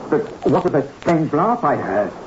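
A man's voice: on the high side, sing-song, normal speed. An actor playing a troubled, earnest man seeking the truth, in 1960s radio drama style. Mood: puzzled, uneasy. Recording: muffled, noisy old 1960s radio recording.

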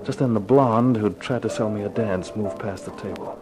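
Male actor as 1950s radio gumshoe: low register, terse, cool, wry slang, music underneath. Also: moderate pace, close to an everyday voice. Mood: moody narration. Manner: terse, wry, slangy tough-guy talk. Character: hardboiled male private eye, cool, wisecracking, unafraid. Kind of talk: 1950s radio detective drama acting and narration.

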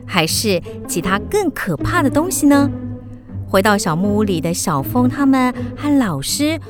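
A woman narrating a children's radio drama in an expressive, suspenseful storytelling style. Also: a little high, moderate pace, over music.

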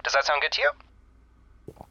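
Over a muffled phone connection comes a young call-centre agent, bright and forward in tone. He is courteous and patient, a little careful with his words, in a natural audio-comedy style. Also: slow pace. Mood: polite, professional. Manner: polite, hesitant customer-service talk. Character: patient, polite young phone-company agent and aspiring drag queen. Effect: phone line filter. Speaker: actor in an audio drama. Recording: dull, band-limited phone line.

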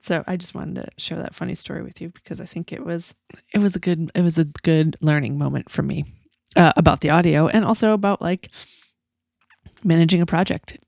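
Low-voiced rambling podcast host woman. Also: fairly quick, creaky, darkish tone, no room sound, studio quality. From Massachusetts, USA. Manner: rambling, laughs often, swears casually. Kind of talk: casual podcast interview.

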